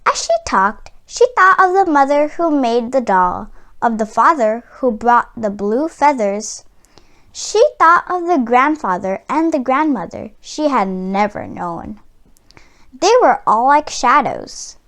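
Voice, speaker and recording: Female storybook narrator, high and animated in intonation, with an unhurried read-aloud pace for children. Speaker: storybook reader.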